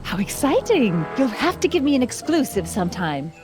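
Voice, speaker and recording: High-voiced, righteous young female crusader. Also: sing-song, normal speed, music in the background. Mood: arch, inquisitive. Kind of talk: animated video game voice acting.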